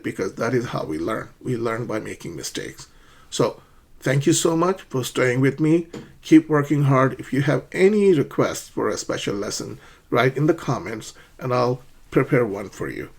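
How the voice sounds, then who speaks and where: A man's voice: medium pitch, darkish tone. A man teaching an English lesson, patient and encouraging, often checking in with "right?" and acting out sample questions. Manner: acts out sample questions with rising intonation. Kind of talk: ESL lesson.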